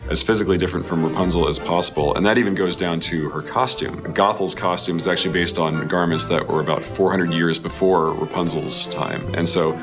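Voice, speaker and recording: A man's voice: sits low, fairly even intonation, brisk, few pauses. A man from the film crew explaining how the film was made in an enthusiastic interview. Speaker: filmmaker interviewee. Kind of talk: behind-the-scenes interview. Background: music.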